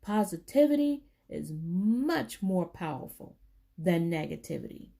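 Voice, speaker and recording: A woman reading a children's book aloud, with deliberate, emphatic phrasing for young listeners. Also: fairly low pitch, sing-song, creaky, mellow. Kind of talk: storybook read-aloud for children.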